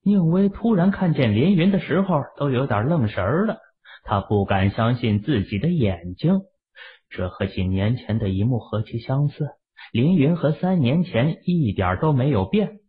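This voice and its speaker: A man's voice: mid-range voice, sing-song, moderate pace. A man narrating a novel as a scripted, dramatic audiobook reading. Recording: tinny, narrow-band phone-like audio.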